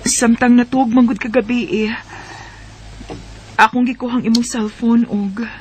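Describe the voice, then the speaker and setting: An actress with a Cebuano Bisaya accent playing an anxious, suspicious, soft-hearted young wife in a radio drama. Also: female voice, on the high side, fairly even intonation, measured pace, a little hiss or hum, natural voice. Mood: hesitant. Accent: Cebuano Bisaya Filipino.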